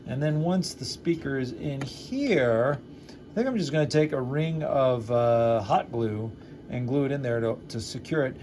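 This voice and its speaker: A man giving a casual, hesitant hands-on walkthrough of a DIY project, explaining it off the cuff with frequent pauses. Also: mid-range voice, lively intonation, noisy background. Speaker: DIY builder and host.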